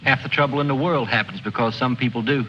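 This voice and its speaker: A thoughtful soldier, played by a male actor, plain-spoken and measured. His voice sits at a medium pitch. It is heard on a muffled old film track with faint hiss. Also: fairly even intonation, natural voice. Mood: reflective. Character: level-headed, thoughtful soldier, a peacemaker. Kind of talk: mid-century American film drama acting.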